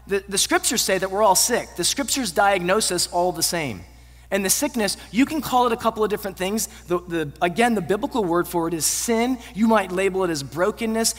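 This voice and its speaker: A man in his thirties delivers a sermon with a fairly high voice. He speaks earnestly, pushing up to emphatic peaks and then falling away to quiet, low endings. His voice carries a long reverb from a large church auditorium. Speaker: pastor.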